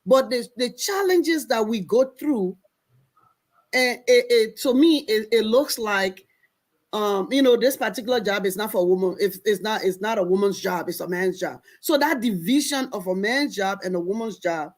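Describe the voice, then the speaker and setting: A woman's voice: medium pitch, moderate pace, darkish tone. A woman hosting a panel discussion, speaking thoughtfully with some restarts. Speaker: discussion host.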